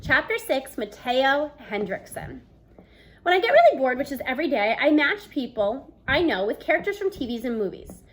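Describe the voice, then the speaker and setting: A woman in her thirties reading a novel aloud in an expressive, storytelling style, acting out the dialogue. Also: high voice, moderate pace, a little hiss or hum, poor audio. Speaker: read-aloud book reader. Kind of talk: expressive children's audiobook-style read-aloud. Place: small room at home, indoors.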